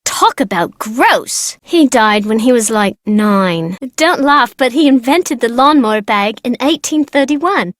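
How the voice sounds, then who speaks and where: A very high, girlish voice, close and dry, for a video game character. She is a precocious young girl, proud of her family, who chatters casually with playful slang and teasing. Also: expressive, a little slow, few pauses, dry recording. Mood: chatty, informative.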